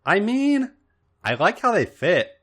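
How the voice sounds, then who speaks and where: A man's voice: high-pitched, sing-song, unhurried, a bit bright, voice pushed up, heavily acted. A man voicing a naive, earnest, bashful young woman in a story reading, with a hesitant, innocent manner. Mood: shy, hesitant.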